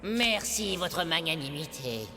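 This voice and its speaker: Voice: mid-range voice, sing-song, takes it slowly, over loud music. A performer voicing a servile animal henchman in a children's cartoon, obsequious toward a villain master. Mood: fawning. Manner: obsequious, deferential. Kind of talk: animated children's film dub.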